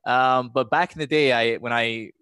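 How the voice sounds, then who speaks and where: A man's voice: medium pitch, steady tone, normal speed, pushed and bright, clean tone, no room sound. Scottish-American man in casual conversation. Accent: half Scottish, half American. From Scotland. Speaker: podcast guest, former pro gamer. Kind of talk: casual chat, personal storytelling.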